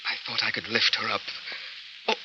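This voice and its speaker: A man's voice: fairly low pitch, flat delivery, a little fast, lightly acted. An actor in a 1940s radio melodrama playing an ailing, fearful husband, weak and gasping as he speaks. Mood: anguished, fearful. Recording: muffled 1940s radio recording, faint crackle.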